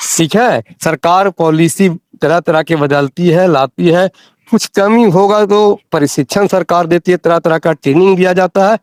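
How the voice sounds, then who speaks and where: Man, higher-pitched voice, dry sound, insistent explainer, phone discussion. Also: no room sound. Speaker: interviewee explaining teacher rules. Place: recorded audio call.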